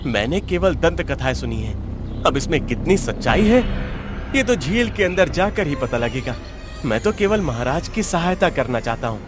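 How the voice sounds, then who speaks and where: A humble wandering flutist, played by a man, with a high-pitched voice. He talks deferentially and formally, a loyal subject in a cartoon folk tale. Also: sing-song, normal speed, over music. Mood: sincere. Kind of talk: Hindi cartoon story dialogue.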